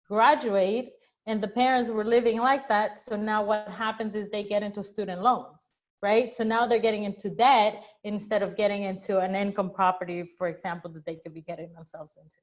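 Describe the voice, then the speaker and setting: A woman's voice: medium pitch, steady tone, moderate pace. Earnest woman on a lo-fi webinar call. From Ecuador. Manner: earnest, many restarts and fillers. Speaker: real estate agent. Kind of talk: webinar conversation. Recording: dull lo-fi webinar audio.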